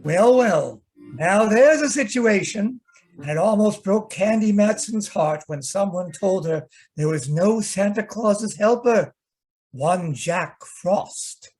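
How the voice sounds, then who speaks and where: A man with a high-pitched voice works as a theatrical old-time radio announcer. He reads his scripted introduction slowly and deliberately, with music behind him. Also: animated, a little mellow.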